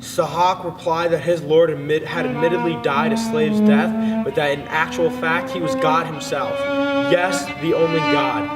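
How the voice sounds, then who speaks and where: High-voiced male narrator in a long reverb. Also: moderate pace. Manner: solemn, theatrical. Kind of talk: dramatic literary reading. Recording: distant in long hall reverb, loud music. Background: string music.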